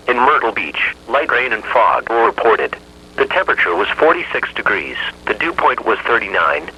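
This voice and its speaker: A synthetic male voice reading an automated weather radio bulletin in a flat, evenly measured delivery. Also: mid-range voice, slightly rough, low-quality recording.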